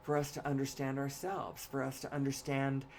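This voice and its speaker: A woman with a hoarse, raspy voice giving a solo spoken monologue, pausing often to cough and clear her throat. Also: extremely low pitch, completely flat delivery, a little mellow, a little hiss or hum.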